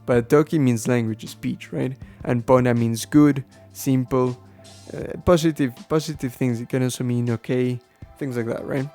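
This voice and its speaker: A man whose first language is Spanish, explaining things casually and haltingly as a podcast host, thinking aloud as he goes. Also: mid-range voice, steady tone, warm tone, faint music behind. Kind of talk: casual unscripted explaining to listeners.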